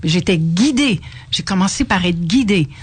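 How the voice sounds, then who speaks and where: A woman's voice: on the low side, lively intonation, moderate pace, slightly rough. An older woman, a guest on a radio show, explaining her experiences earnestly. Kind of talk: radio interview. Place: radio studio.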